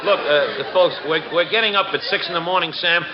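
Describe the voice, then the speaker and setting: A man's voice: high voice, fairly quick, echoey room, a crowd in the background, natural voice. A man playing a sleepy, exasperated husband and father who pleads and splutters in old-time radio comedy. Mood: weary, pleading. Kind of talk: 1940s-50s radio comedy acting. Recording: noisy, muffled radio show before live audience.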